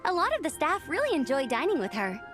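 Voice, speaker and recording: Young female knight: chatty, eager, soft music behind. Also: high-pitched, huge pitch swings, fast talker. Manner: chatty and upbeat. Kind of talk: game voice acting.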